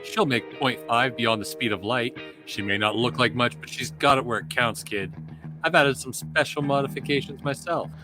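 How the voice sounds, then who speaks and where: A man's voice: mid-range voice, moderate pace, over music, natural voice, poor audio. A man playing a cocky, wry smuggler pilot in a casual table read of a script. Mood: boastful.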